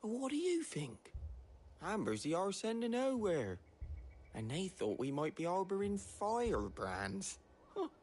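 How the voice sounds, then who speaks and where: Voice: medium pitch, huge pitch swings, unhurried, darkish tone, slightly rough, exaggerated voice. An actor playing a cheeky, cynical villager in a fantasy video game, talking in a wry, colloquial way with a British accent. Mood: wry, chatty. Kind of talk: scripted video game acting.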